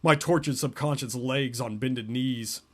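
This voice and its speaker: A man doing a mocking, deadpan reading of a romance novel, often breaking off into laughter and asides. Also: medium pitch, a little slow. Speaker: podcast co-host reading a novel aloud.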